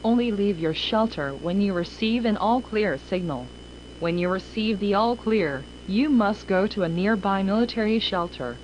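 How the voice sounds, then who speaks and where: A woman delivers a scripted emergency alert in a formal, measured manner. Her mid-pitched voice is impersonal and official. The recording is dull and lo-fi, with faint noise underneath. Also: a little mellow. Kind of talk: scripted emergency broadcast warning.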